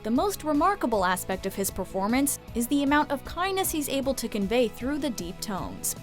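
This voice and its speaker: A woman's voice: high-pitched, sing-song, fairly quick, faint music behind. A woman reading scripted narration as the presenter of a countdown list.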